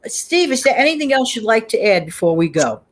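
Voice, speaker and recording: A woman's voice: fairly high pitch, sing-song, a little fast. A woman hosting a casual livestream, chatting in an emphatic way and repeating phrases for emphasis. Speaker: show host.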